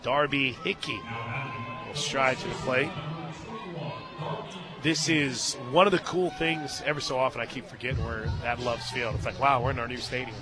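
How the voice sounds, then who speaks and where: A man doing live play-by-play softball commentary for radio from a stadium booth. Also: medium pitch, steady tone, moderate pace. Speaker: play-by-play announcer. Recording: crowd noise behind. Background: ballpark crowd and music.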